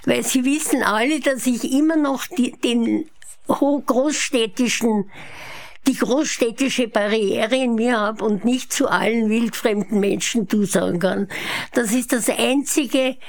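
An elderly woman in her eighties speaks with a Viennese Austrian accent. She recounts her own life as an interview guest, taking her time with a slow, measured pace. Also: a little high. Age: 80s. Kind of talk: unhurried autobiographical storytelling. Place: her apartment living room.

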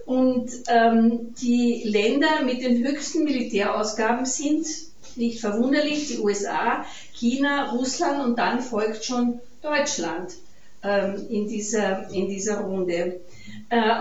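A woman from Carinthia with an Austrian accent gives an informal public lecture as a guest speaker, conversational and hesitant, with frequent pauses. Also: fairly high pitch. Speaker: guest speaker, peace activist. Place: event hall, recorded from a distance. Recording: echoey room, distant muffled mic, noisy.

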